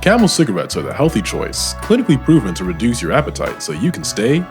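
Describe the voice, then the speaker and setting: A man's voice: mid-range voice, wildly swooping pitch, quick pace. Dramatic male radio serial narrator over music. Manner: theatrical, suspense-building. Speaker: narrator and announcer. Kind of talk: old-time radio serial narration and sponsor read. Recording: music under the voice.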